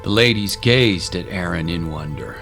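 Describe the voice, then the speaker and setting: A man reads a scripted story as its narrator. His voice is very deep, and there is clear music underneath the narration. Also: moderate pace, fairly bright tone.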